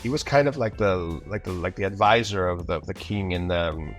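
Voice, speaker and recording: Man, low voice, animated, rambling casual talk, voice actor being interviewed, music underneath. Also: normal speed. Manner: animated, rambling with repeated words. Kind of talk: casual interview chat with anecdotes.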